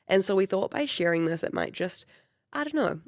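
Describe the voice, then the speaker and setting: A woman's voice: mid-range voice, expressive, brisk, no room sound, studio quality. A woman hosting a casual podcast interview, sounding nasal from a chest infection, with a New Zealand accent, chatty and bubbly. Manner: bubbly, chatty, many "mm" backchannels. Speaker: podcast host.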